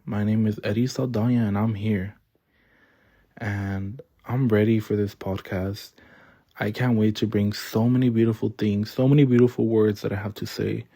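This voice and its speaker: A man's voice: lowish voice, mellow. A young man hosting his own podcast, talking earnestly and rambling from the heart with fillers and repeats. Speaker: podcast host. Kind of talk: heartfelt solo podcast monologue.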